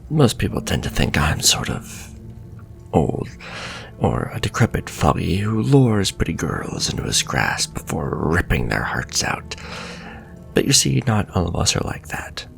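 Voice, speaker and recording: A man playing a suave, flirtatious, sinister warlock shopkeeper in a fantasy ASMR roleplay, speaking softly and courteously and drifting into breathy whispers. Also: low voice, big pitch swings, a little slow, heavily acted. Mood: flirtatious, coaxing.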